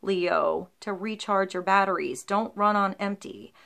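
A woman in her thirties reads tarot cards straight to camera in a small indoor room. She talks in a flowing, reassuring way, full of little ums and likes, and her pitch sits right in the middle for a woman. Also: unhurried, vocal fry, pushed and bright. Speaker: tarot reader. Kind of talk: intuitive tarot reading to camera. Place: small indoor room, seated before a painted wooden backdrop.

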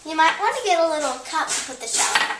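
A woman's voice: very high voice, very animated, fast talker, heavy background noise. A young girl hosting a playful home cooking show in her kitchen, chatting and telling viewers what to do. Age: child. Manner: instructing viewers directly, chatty. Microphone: camera across the kitchen. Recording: echoey kitchen, distant from camera.

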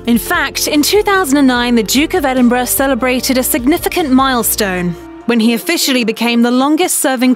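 A woman with a fairly high voice narrates a scripted documentary segment in a brisk, even way. Soft music runs faintly beneath her voice-over.

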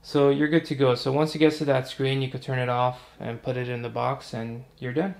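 Gravelly-voiced young man, roomy home recording. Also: mid-range voice, fairly quick. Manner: relaxed, chatty, talks while working. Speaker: tutorial host. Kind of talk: casual step-by-step tutorial. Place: living room with TV.

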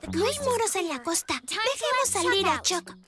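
An extremely high, childlike cartoon voice for a sensible young dinosaur rescuer. The delivery is earnest and expressive, made for a children's dub, with music playing behind it. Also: female voice, moderate pace. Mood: cautious.

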